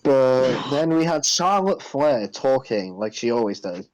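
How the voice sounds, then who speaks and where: A man co-hosting a podcast in casual recap chat, blunt and argumentative, quick to cut in. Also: mid-range voice, sing-song, a little mellow, dull audio, slight background noise. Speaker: podcast co-host.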